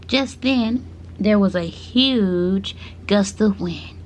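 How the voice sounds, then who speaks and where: A woman reading children's stories aloud to her baby, speaking gently and breaking off for playful asides. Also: mid-range voice, drawn-out words, a little hiss or hum.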